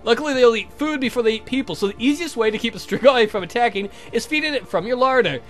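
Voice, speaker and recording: Man putting on a haughty, theatrical vampire, high-pitched. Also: expressive, fast, soft background music. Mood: lecturing. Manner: formal, theatrical, condescending. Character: suave, haughty, lecturing male vampire.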